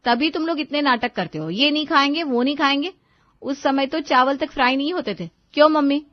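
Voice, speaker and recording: Practical elder sister played by a female narrator, cartoon family story. Scolding, matter-of-fact, pitched up. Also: brisk, highs cut off, close to an everyday voice. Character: practical elder daughter, scolding her fussy younger sister.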